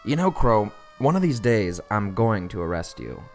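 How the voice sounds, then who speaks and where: A man's voice: on the low side, very animated. Man playing a sardonic, swooping-voiced police detective. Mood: teasing, cocky. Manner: sarcastic, taunting, casual drawn-out jabs. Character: sardonic, needling male police detective, world-weary and cocky. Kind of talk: immersive cyberpunk audio roleplay acting. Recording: clear background noise, sirens and street ambience.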